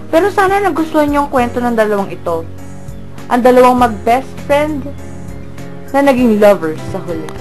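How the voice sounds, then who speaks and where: A woman tells a story as an informal radio drama narrator. Her voice is fairly high and lively, with playful asides. Soft music sits behind her.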